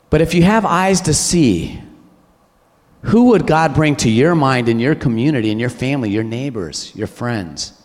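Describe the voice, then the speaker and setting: A man preaching a sermon, exhorting the congregation and posing rhetorical questions. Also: mid-range voice. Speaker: pastor. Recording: long church hall reverb.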